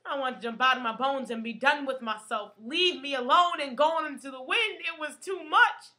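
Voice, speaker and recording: A woman with a high, bright voice performs a poetic stage monologue. She speaks with deliberate, emotional phrasing and a theatrical sense of drama.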